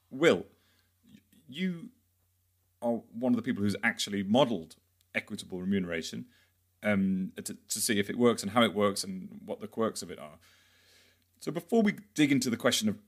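A man hosting a podcast, asking long questions that loop back and correct themselves. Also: fairly low pitch. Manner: rambling, self-correcting questions. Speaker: podcast host. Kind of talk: podcast interview.

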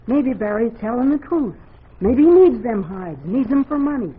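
An actress playing a stern, plain-spoken older frontier wife, folksy and blunt, in 1940s radio drama style. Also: female voice, a little high, animated, moderate pace, husky. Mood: scolding. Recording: muffled, noisy 1940s radio recording.

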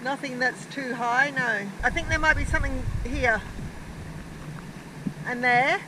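A woman's voice: high voice, steady tone, unhurried, noisy background. A middle-aged woman chatting cheerfully to her phone camera on the deck of a sailboat, laughing often. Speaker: sailing vlogger. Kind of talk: casual vlog chat. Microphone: phone selfie at arm's length.

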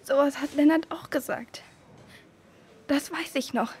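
A woman's voice: high voice, unhurried, darkish tone. A woman playing a sad, questioning young girl with a hesitant, searching way of talking in an audio drama. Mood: grieving.

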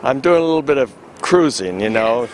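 An elderly man in his eighties, talking casually and haltingly with many fillers and chuckles, interviewed at an outdoor city marina on a handheld mic. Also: mid-range voice, expressive, slight background noise, low-quality recording. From Florida. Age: 80s. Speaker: sailing school owner. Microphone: handheld microphone held by interviewer.

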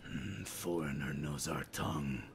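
A man's voice: extremely low pitch, a little slow, vocal fry, noisy background, pitched below the performer's own voice, full character voice. A man voicing an angry, muscular female goliath shopkeeper in a tabletop game, speaking curtly and with scorn. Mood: gruff scorn. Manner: curt, scornful, broken speech.

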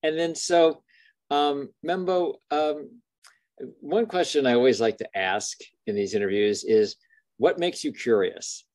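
Middle aged guy hosting an interview on a video call, kinda formal and measured, dry sound. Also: medium pitch, darkish tone, no room sound. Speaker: interviewer, university dean hosting. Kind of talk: formal scripted introduction, then interview questions.

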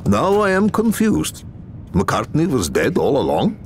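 Animated scholarly priest. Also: male voice, mid-range voice, measured pace, slight background noise. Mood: bewildered. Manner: earnest, drops articles in broken English. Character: earnest scholarly male priest, easily bewildered. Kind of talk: video game cutscene acting.

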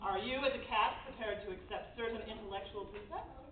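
A woman's voice: on the high side, a little fast, lightly acted. Woman acting a plucky young heroine, bossy, earnest, radio play, tinny distant sound, faint noise. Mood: analytical. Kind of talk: live radio play reading. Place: hotel function room on stage. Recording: echoey, distant, tinny camera audio with noise.

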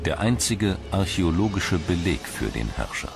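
A man narrates a documentary from a script in standard German. His voice sits very low, and music plays clearly behind him. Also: sing-song, moderate pace. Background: background music.

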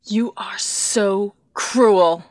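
An actress playing a brave young heroine in a video game, earnest and deliberate, with stilted delivery. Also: female voice, medium pitch, darkish tone, no echo. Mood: accusing. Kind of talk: video game cutscene acting.

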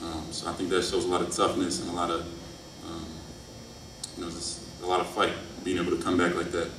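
Deep, flat-voiced young man, echoey. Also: fairly quick. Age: early 20s. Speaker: college basketball player. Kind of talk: press conference answers. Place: press conference room, indoors. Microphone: table microphone on stand. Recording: echoey room, distant mic, faint noise.

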